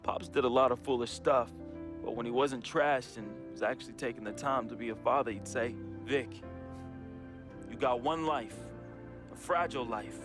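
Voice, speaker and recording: A male actor plays a troubled young man in a dramatic game cutscene, reading out a farewell letter. His delivery is solemn and deliberate, a grieving confession at a middling pitch, with music playing clearly underneath. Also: close to an everyday voice. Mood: wistful. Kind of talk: dramatic first-person monologue.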